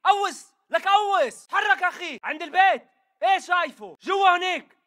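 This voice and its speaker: An actor voicing a hostile militant fighter in a video game, barking urgent combat callouts in Levantine Arabic. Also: male voice, very high voice, expressive, brisk, pushed and bright, full character voice. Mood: aggressive, urgent. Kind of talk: shouted video game battle chatter.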